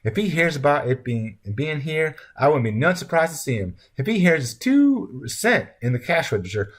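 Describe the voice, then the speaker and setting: Rural Southern waitress wife, folksy, mistrustful, lifted pitch, audiobook tale male reader acting her. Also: sing-song, moderate pace. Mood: gossipy. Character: folksy, suspicious country diner wife, grown woman.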